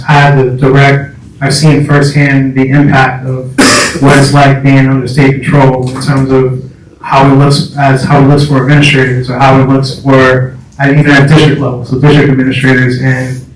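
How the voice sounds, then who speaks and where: A man giving a formal answer at a public meeting as an administrator, often repeating and rephrasing himself. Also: medium pitch. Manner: halting, rephrasing and repeating phrases. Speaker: school board candidate, administrator. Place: indoor council meeting room. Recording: echoey room, distant mic.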